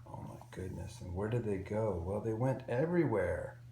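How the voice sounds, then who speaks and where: A man reading a picture book aloud to young children in an expressive, simple storytelling way. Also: on the low side, normal speed. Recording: roomy echo with faint background noise.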